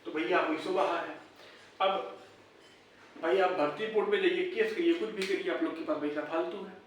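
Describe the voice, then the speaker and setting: High-voiced man in a very echoey room. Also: steady tone, moderate pace, a little hiss or hum. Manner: blunt, argumentative, rhetorical questions. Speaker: YouTube exam-update host. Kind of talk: informal opinionated commentary. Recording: echoey room, distant mic.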